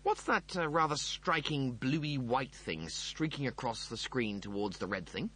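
A man voicing a pompous, wordy, slow-witted spaceship crewman in an audiobook. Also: medium pitch, lively intonation, bright, forceful tone, breathy edge, voice pushed up, full character voice. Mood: indulgent curiosity.

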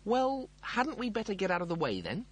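Audiobook spaceman, male reader: self-important and slow-witted, wordy delivery, very lively pitch. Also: slightly rough, exaggerated voice. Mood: dim disbelief. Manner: pompous, wordy. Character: pompous, slow-witted man aboard a spaceship, easily alarmed.

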